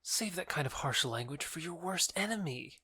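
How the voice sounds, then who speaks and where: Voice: medium pitch, expressive, moderate pace, bright, forceful tone, husky, dry recording, studio quality, full character voice. An actor playing a lovesick, bitter young man rejected by his beloved, earnest and wounded, in an audio drama. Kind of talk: theatrical audio drama acting.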